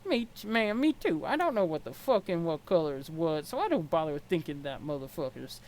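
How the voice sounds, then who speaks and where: A performer voicing a young male alien slacker clown, mellow and foul-mouthed, who rambles in slangy, swearing sentences. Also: medium pitch, big pitch swings, a little fast. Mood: chummy, laid-back. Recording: clear background noise.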